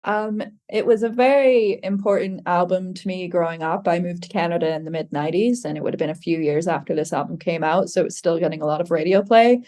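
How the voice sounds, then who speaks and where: A woman's voice: lowish voice, quick pace, few pauses, warm tone. A woman musician guesting on a podcast, chatting casually with plenty of "like" and easy laughs. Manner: chatty, lots of fillers like "like", laughs.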